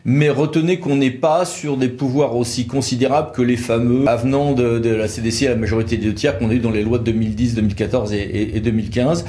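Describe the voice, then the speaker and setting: Male legal expert, medium pitch, informal explainer discussion with colloquial turns of phrase. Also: steady tone, fast, few pauses, warm tone, a little hiss or hum. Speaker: lawyer explaining procedures.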